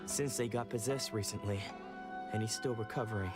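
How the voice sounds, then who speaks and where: A man's voice: fairly low pitch, a little fast, natural voice. A man voicing a young, protective dojo disciple in a video game, speaking in an earnest, formal way. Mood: explaining. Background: game music.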